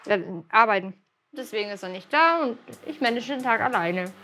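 A woman's voice: fairly high pitch, lively intonation, a little fast, pushed and bright. A woman in her twenties answering interview questions in her apartment, blunt and offhand. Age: 20s. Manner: blunt, offhand, matter-of-fact. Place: small apartment indoors.

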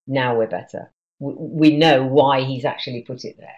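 Low-fi British woman teaching online. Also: fairly even intonation, moderate pace, darkish tone. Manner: explanatory, posing rhetorical questions. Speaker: teacher trainer presenting a webinar. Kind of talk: informal online lesson for teachers. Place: small indoor room, on a video call. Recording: dull, lo-fi webcam audio.

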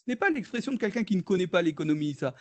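A man's voice: high-pitched, animated, racing. A French man, an economist, presenting in a video-call webinar, hesitant with many fillers and restarts. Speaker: economist guest speaker.

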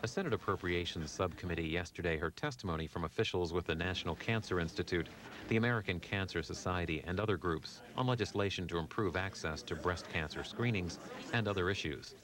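Low-voiced male news announcer. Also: quick pace, a bit bright. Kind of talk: scripted broadcast introduction.

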